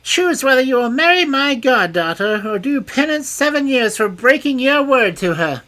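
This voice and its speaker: A storybook narrator voicing a stern, imperious fairy godmother in a very high, drawn-out voice. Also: expressive, fairly bright tone. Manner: shrill, high, slow and stern.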